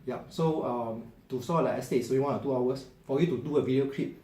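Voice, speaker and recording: A man's voice: medium pitch, fast talker, mellow. A middle-aged man with a Singaporean English accent, a financial planner and author making an earnest, conversational appeal to his viewers. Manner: earnest, loose and conversational with frequent restarts. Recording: echoey room with faint ambient noise.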